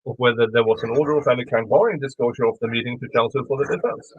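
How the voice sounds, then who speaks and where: Swedish-accented man reading legal text, husky. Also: medium pitch, very fast, muffled recording. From Sweden. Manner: stumbling, restarting words while reading; blunt asides, cursing. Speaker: YouTube commentator. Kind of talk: reading a legal filing aloud with commentary.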